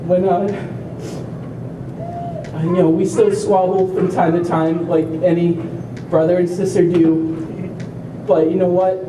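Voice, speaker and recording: A young man giving an earnest, heartfelt speech at a community walk, slightly halting as he speaks. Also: high voice, little pitch movement. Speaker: family member speaker at an awareness walk. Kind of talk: prepared speech to a crowd. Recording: echoey, distant, noisy event recording.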